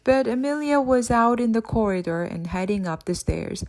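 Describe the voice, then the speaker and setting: A woman reading a children's audiobook as narrator, in an expressive storytelling style. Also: on the high side, moderate pace.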